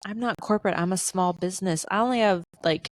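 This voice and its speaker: A young adult woman co-hosting a podcast in casual chat, speaking in a flat, monotone way. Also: mid-range voice, quick pace, no room sound, clean studio sound. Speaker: podcast co-host.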